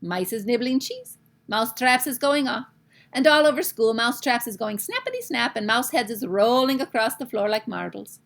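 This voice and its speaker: A woman voicing an imperious, child-hating Grand High Witch for a children's storybook, commanding and emphatic. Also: animated, normal speed, creaky, fairly bright tone. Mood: gloating.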